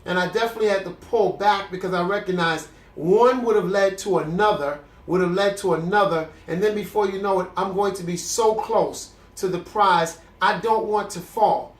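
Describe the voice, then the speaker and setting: Young male host, congested, earnest and preachy, higher voice, roomy echo. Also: moderate pace, hardly any pauses. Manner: earnest, preacherly, with frequent restarts. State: congested, with a cough. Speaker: host of a celibacy video series. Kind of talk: candid faith talk to camera. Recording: echoey room.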